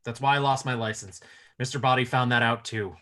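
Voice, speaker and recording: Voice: medium pitch, fairly even intonation, fairly quick, a bit bright, close to an everyday voice. An actor playing an earnest professor, one of the suspects in a comedy murder mystery table read. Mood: earnest, confessing. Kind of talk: live table read of a comedy film script.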